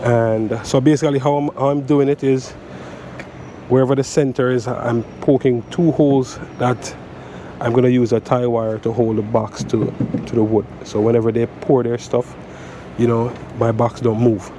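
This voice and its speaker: A man's voice: mid-range voice, normal speed. A man in his thirties with a stuffy cold, casually explaining electrical prep work step by step, recorded outdoors on a sunny dock with a chest-mounted camera. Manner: relaxed, repeats "so" and "guys" often, explains step by step. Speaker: electrician vlogger. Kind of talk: casual how-to vlog. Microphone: chest-mounted action camera. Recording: outdoor noise with traffic and air conditioning.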